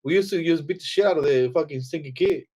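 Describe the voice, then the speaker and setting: A man's voice: a little high, quick pace, a little mellow, breathy edge. A man co-hosting a casual interview, joking and teasing the guest with constant profanity. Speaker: co-host.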